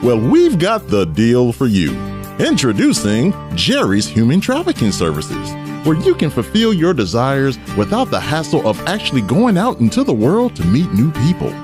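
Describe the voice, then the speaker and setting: A man playing a slick, cheery salesman in an exaggerated parody radio commercial pitch. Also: wildly swooping pitch, moderate pace. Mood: salesy. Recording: voice over loud music.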